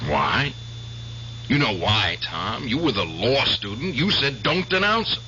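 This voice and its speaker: An actor in a radio drama playing a haunted ex-prisoner of war bent on revenge, insistent and emphatic. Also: male voice, medium pitch, very animated, a little slow, bright, forceful tone. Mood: grimly determined. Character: haunted, vengeful ex-prisoner of war, obsessed with killing. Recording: dull, hissy, humming old radio broadcast.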